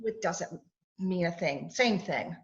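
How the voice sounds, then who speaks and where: A woman's voice: on the low side, slow pace. A woman playing a haughty, exasperated fiancee in old-time radio drama style, theatrical and insistent, on dull video-call audio. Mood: confiding, insistent.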